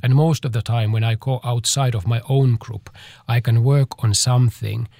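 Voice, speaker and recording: A man, a choreographer answering interview questions in a halting way as he searches for words. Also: medium pitch, fairly even intonation, vocal fry, dark tone, no room sound, studio quality. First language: Finnish.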